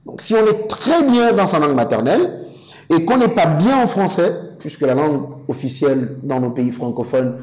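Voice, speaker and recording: Emphatic higher-pitched man. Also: sing-song, darkish tone, rough recording. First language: an African language. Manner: emphatic, rhetorical, deliberate articulation. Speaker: interview guest, public speaking coach. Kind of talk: studio interview, explanatory and didactic.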